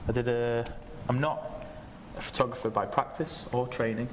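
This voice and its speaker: A man's voice: mid-range voice, steady tone, normal speed. A man, a lecturer, talking informally on a panel in a large gallery, picked up by a distant microphone. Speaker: senior lecturer on a discussion panel. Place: large gallery room with seated audience. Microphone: distant camera microphone. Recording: noisy room, distant microphone.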